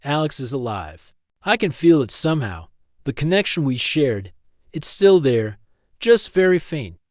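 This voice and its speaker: A man's voice: a little high, big pitch swings, unhurried, darkish tone, dry recording. Man as resolute woman scientist, earnest, pleading, audio story, dry close sound.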